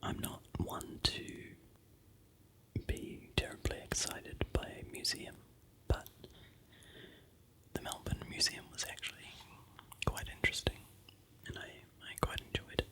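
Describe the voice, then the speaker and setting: A vlogger from New Zealand with a New Zealand accent, softly narrating a travel slideshow in a steady, unhurried whisper. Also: rough recording. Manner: soft, unhurried whisper throughout.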